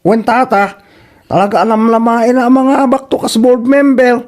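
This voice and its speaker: A man's voice: extremely high pitch, level tone, moderate pace, a little mellow. A man acting a teasing, sceptical village buddy in an Ilocano comedy drama, mocking and exclamatory.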